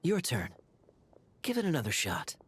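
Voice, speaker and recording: A man voicing a wry, charming adult knight who talks in a smooth, teasing way. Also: mid-range voice, huge pitch swings, normal speed, a little hiss or hum. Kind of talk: game voice acting.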